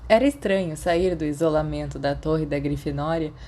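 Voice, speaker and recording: A woman's voice: low-pitched, normal speed, darkish tone. A woman with a Brazilian accent reading a story aloud as scripted narration. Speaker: audiobook narrator of fan fiction.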